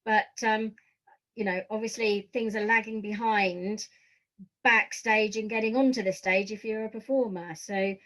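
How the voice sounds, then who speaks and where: A woman's voice: mid-range voice, steady tone, a little slow, fairly bright tone, poor audio. A British woman interviewing a guest for a podcast in a conversational style.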